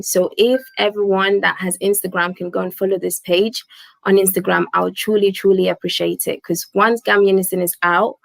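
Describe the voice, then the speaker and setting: A woman's voice: mid-range voice, gravelly, dry recording, low-quality recording. A woman from Gambia whose first language is Wolof, hosting a casual live stream in a chatty way, repeating words and leaning on "basically".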